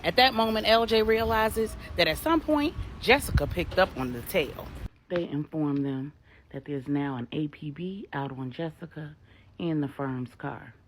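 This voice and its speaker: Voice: medium pitch, normal speed, a little hiss or hum. A storyteller narrating a dramatic tale for adults in a vivid, colloquial way.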